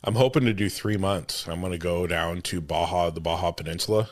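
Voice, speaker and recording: Deep-voiced easygoing man host. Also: a little fast, dry recording. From United States, Oregon. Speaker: podcast host. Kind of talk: casual interview conversation.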